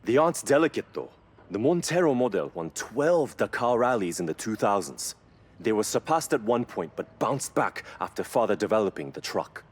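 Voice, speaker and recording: Male car expert for a video game: lowish, very expressive voice, measured and admiring. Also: breathy edge. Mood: impressed. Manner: measured, explanatory, impressed. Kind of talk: scripted video game dialogue.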